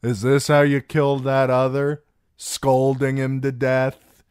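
A man's voice: medium pitch, completely flat delivery, clear, resonant tone, dry recording, clean studio sound, pitched above the performer's own voice, natural voice. A man voicing a boisterous, drunken young singer in an audiobook, cocky and mocking, with a swaggering drawl. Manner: loud, swaggering, slow drawn-out delivery. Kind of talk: audiobook character voice.

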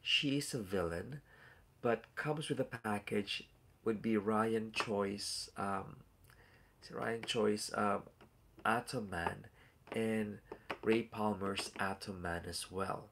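A man in his forties talks in a casual, rambling way, full of fillers and side comments to the people watching. He sounds stuffed up and nasal, and his voice is low with a gravelly rasp. He is recorded on a phone or webcam at arm's length in a small room at home, with a faint hiss behind him. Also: fairly even intonation, slow pace, fairly bright tone. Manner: rambling and chatty, full of fillers and asides to viewers. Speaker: toy collector hosting a live unboxing. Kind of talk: casual live-stream chat.

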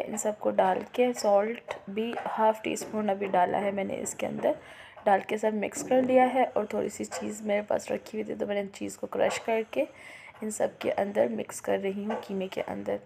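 A middle-aged Pakistani woman, a little unwell, giving an informal step-by-step recipe voice-over with hesitant pauses. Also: medium pitch, creaky. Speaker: home cook and video host. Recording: noticeable background noise, home recording.

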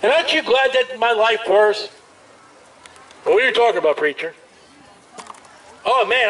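A man preaching outdoors through a portable speaker, loud and emphatic, with drawn-out hammering phrases. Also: very high voice. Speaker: street preacher. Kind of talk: amplified open-air preaching. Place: outdoors at a busy street festival. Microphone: amplified through a portable speaker. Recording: distant outdoor recording with ambient noise.

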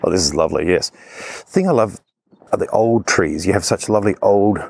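A man's voice: sits low, brisk, vocal fry, darkish tone, dry recording, studio quality. A man chatting casually while out in a park. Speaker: podcast host. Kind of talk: casual chat. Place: outdoors in a park.

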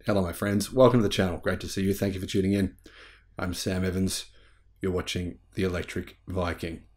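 A man's voice: low-pitched, steady tone, brisk, darkish tone. A man in his forties hosting a news commentary video in an emphatic, conversational way. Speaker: YouTube channel host. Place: small home room, indoors.

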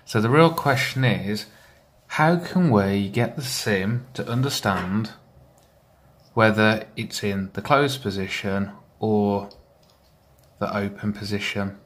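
A man giving a measured, methodical walkthrough of a hobby build, explaining it step by step. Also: fairly low pitch, fairly bright tone. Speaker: hobbyist builder presenting his project.

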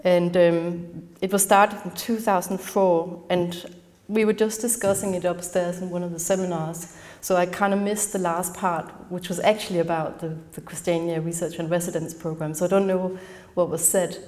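Woman, Danish accent, native Danish speaker, lecture in a large hall, low pitch, mellow tone, measured. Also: fairly even intonation. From Denmark. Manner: measured, partly read from a prepared text. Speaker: conference speaker. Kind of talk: academic lecture.

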